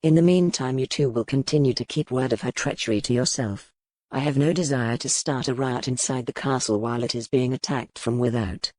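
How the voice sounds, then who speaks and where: A man playing a grim, weary, commanding medieval duke in an audiobook, speaking in formal, measured phrases. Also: mid-range voice, a little mellow, no echo, natural voice. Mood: grim, resolute. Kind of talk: dramatized audiobook dialogue.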